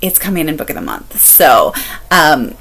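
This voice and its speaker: A woman chatting casually as a book-review vlogger, candid and expressive, repeating phrases for emphasis. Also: sits low, moderate pace, creaky, poor audio. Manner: candid, expressive, laughs while talking.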